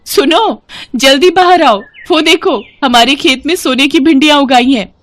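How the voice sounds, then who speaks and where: A woman's voice: high voice, moderate pace, fairly bright tone, slight background noise, voice pushed up. A woman voicing a poor, kind village wife in a moral storybook tale, with an earnest, humble manner. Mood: excited. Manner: meek, earnest.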